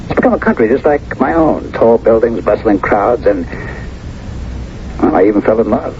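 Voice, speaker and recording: A man's voice: mid-range voice, animated, moderate pace, a little mellow, dull audio, close to an everyday voice. Troubled veteran in love, male actor, vintage radio drama. Hesitant and earnest, with noisy old recording. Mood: troubled, confessional. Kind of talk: 1970s radio drama acting.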